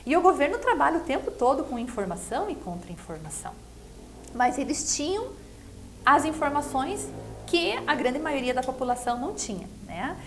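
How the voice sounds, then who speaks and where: A woman's voice: sits high, sing-song, moderate pace, a bit bright, soft background music. A Brazilian woman giving conversational political commentary in an earnest, explanatory way, often checking for agreement with tag questions. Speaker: interview guest, political commentator.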